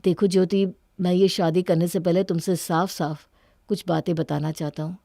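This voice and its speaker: A woman's voice: on the low side, steady tone, moderate pace, gravelly, warm tone, no room sound, clean studio sound, pitched below the performer's own voice. A woman voicing a hurt, guarded young husband in a cartoon story, with an earnest, plaintive manner. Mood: guarded, sombre.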